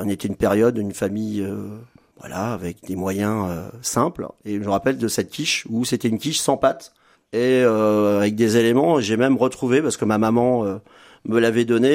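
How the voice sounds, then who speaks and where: A man's voice: mid-range voice, a little mellow. A man in his fifties, a restaurant owner and chef, talking in a radio interview with a hesitant manner full of fillers and restarts. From France. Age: 50s.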